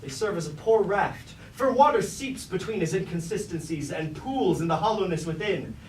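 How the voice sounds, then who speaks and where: A man's voice: high-pitched, expressive, moderate pace, darkish tone. An actor playing a scheming, grandiose robot king in a staged tragedy, speaking pompous, archaic theatrical lines. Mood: persuasive, oratorical. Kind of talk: staged reading of a mock Greek tragedy. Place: indoor staged reading, mic far from actor. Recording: roomy, distant, noisy live stage recording.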